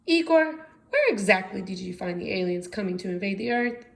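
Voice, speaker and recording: A woman's voice: mid-range voice, animated, gravelly. Woman voicing a fiery, dramatic girl mad scientist. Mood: suspicious. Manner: dramatic, emphatic delivery with drawn-out stressed words. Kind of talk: storybook character voice in a read-aloud.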